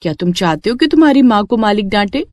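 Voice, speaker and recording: A woman voicing a gentle, caring mother who runs a food cart in a story. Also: mid-range voice, lively intonation, fast talker, dry recording, clean studio sound, natural voice.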